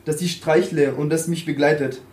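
A man's voice: a little high, fairly even intonation, moderate pace, a little mellow. Man reading aloud, roomy sound. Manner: occasional stumbles over words. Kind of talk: alternating reading of a text. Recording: roomy with faint hiss.

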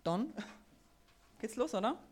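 Woman organizer, brisk. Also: a little high, animated, darkish tone, slight background noise. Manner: quick, affirming, laughs between phrases. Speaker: event organizer. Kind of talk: casual live talk.

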